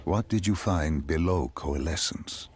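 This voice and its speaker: A man voicing a calm, probing, enigmatic interrogator in a video game cutscene, asking questions in a deliberate, ominous, philosophical manner. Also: extremely low pitch, breathy edge, full character voice.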